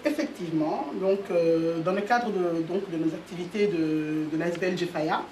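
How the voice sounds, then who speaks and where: A woman's voice: lowish voice, brisk, dark tone. Woman from Italy, talk show guest, hesitant, many fillers, roomy echo, distant mic. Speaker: association organiser, guest. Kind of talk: interview answers. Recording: roomy sound from a distant mic with faint noise.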